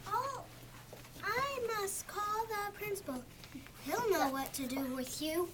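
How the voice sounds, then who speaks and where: A brisk school nurse acted out by a child in a readers theatre play, bossy and helpful. The voice is high and the lines come slowly. The recording is plainly noisy. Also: big pitch swings, full character voice. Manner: slow child's reading aloud.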